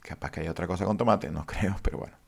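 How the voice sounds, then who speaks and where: A low, gravelly man walks through a database lesson at his computer. He talks haltingly, with frequent fillers, and addresses his viewers formally. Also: darkish tone. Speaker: database instructor. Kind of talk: screen-share coding lesson.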